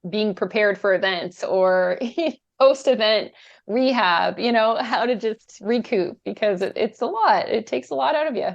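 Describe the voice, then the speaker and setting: A woman guest from Canada answers questions in a relaxed, friendly way. Her voice sits at a middle pitch for a woman. The sound is dull and lo-fi. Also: unhurried, gravelly. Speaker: podcast guest. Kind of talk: casual interview chat.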